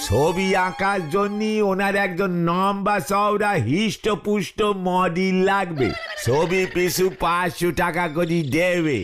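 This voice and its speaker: A sly cartoon shopkeeper played by a man drawls slowly. He is dryly mocking, and music plays clearly behind him. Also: high voice, fairly even intonation, a bit bright. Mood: confiding. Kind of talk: comic cartoon voice acting.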